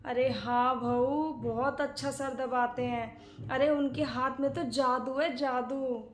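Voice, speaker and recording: A woman's voice: high voice, normal speed, fairly bright tone. A woman playing a scolding, self-righteous, old-fashioned older aunt in a dramatic, chatty domestic comedy skit. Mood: gossipy, boastful. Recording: echoey room.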